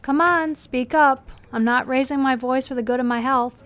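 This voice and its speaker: A demanding police boss played by a woman in an audio drama. Her voice sits a little high, and she is brisk, sarcastic and in command. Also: steady tone, a bit bright. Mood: impatient, caustic. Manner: commanding, caustic.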